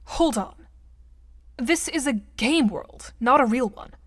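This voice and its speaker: A woman as a direct girl, higher voice, dry recording. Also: expressive, takes it slowly, a bit bright, no room sound, full character voice. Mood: skeptical. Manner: direct, questioning, composed. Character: straightforward, skeptical, patient girl gamer. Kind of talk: audio drama acting.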